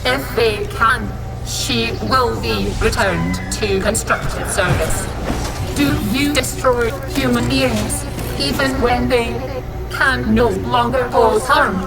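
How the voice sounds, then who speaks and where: A principled, calm female robot envoy, speaks formally in a video game. Her delivery is slow with a creaky edge, and loud music plays behind. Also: fairly high pitch, low-quality recording. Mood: calm, measured.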